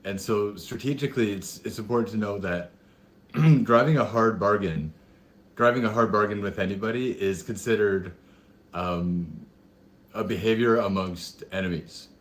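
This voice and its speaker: A man with a raspy voice, speaking as a panelist on an online call, thoughtful and halting with many fillers. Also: on the low side. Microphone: headphone mic on a video call.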